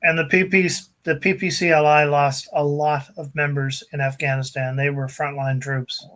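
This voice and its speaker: Podcast panelist, measured and earnest, a bit higher-pitched than average. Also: male voice, level tone, fairly bright tone. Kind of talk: casual podcast discussion.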